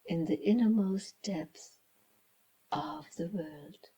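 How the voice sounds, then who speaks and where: A woman reading a children's story as its author, in a dramatic storybook style with hushed, breathy phrases and deliberate pauses. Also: mid-range voice, sing-song, lo-fi sound. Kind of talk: slow dramatic storybook narration.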